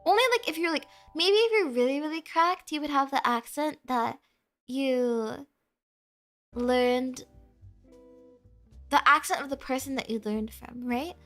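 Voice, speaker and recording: A woman's voice: high-pitched, measured pace, long pauses, a bit bright, soft background music. A young woman, a native Spanish speaker with an American Valley girl accent, chatting casually with her stream and reading chat, giggly and full of "like". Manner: chatty, filler-heavy "like", giggly, bubbly.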